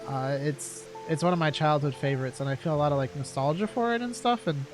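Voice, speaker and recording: A young man with a slightly high voice and a stuffy nose, rambling thoughtfully with constant fillers and restarts. Loud game music plays behind him as he talks into a desk mic. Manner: halting, filler-heavy, reflective. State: congested nose. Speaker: streamer. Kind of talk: casual rambling stream chat.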